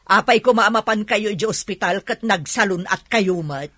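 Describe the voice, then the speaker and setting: A woman's voice: medium pitch, moderate pace, pushed and bright, breathy edge, dry recording, clean studio sound. A woman playing a stern, stingy, heartless boss in a radio drama, scolding and sarcastic, with an Ilocano accent.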